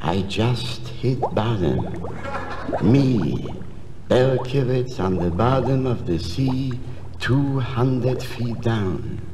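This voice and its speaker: Slow, grumbling comic everyman. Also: medium pitch, lively intonation. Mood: anxious, wondering. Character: anxious, thrifty, grumbling everyman, comic customer or shopkeeper. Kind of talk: 1960s comedy record sketch acting. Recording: noisy, reverberant old comedy record before audience.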